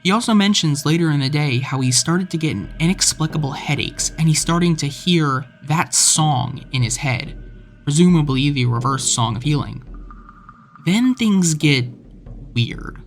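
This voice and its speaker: A man's voice: fairly high pitch, lively intonation, normal speed, soft background music. A man narrating a scripted video essay about an internet horror story. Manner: reads quoted messages with rising intensity.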